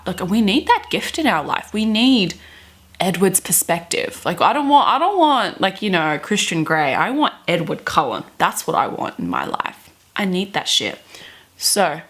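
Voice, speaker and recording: A young adult woman with a theatrical, expressive way of talking, doing dramatic readings and chatty commentary to camera in a bedroom. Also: mid-range voice, normal speed. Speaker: book vlogger. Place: small bedroom, indoors.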